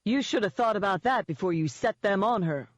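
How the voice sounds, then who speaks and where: A woman's voice: medium pitch, expressive, normal speed, dry recording, voice pushed up, natural voice. A woman voicing a smug, gloating man in an audiobook, cheerfully taunting and firmly in control. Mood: smugly triumphant. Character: smug, gloating man wheeling and dealing by phone.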